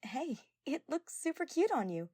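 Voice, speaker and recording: A woman voicing an energetic, devoted teenage girl in an audio story, earnest and gushing and easily flustered. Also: high voice, moderate pace, pitched above the performer's own voice. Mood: eager, caring.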